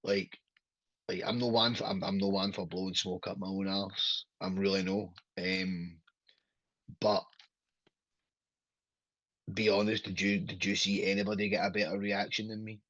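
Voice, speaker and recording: A man's voice: low-pitched, level tone, moderate pace, long pauses, fairly bright tone, no room sound. A man in his thirties from Scotland with a Glaswegian accent, a wrestler chatting on a podcast in a rambling, sweary way that keeps checking in with the listener. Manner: rambling, sweary, keeps asking "do you know what I mean". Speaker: pro wrestler guest. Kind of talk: casual podcast chat. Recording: dull, lo-fi, dry podcast audio.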